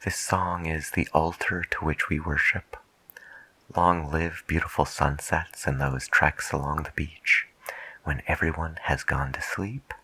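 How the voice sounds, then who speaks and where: A man speaking softly and half-whispering in an unhurried, musing way, as a late-night radio DJ in an ASMR roleplay. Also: very deep voice, flat delivery, breathy edge, no room sound, poor audio.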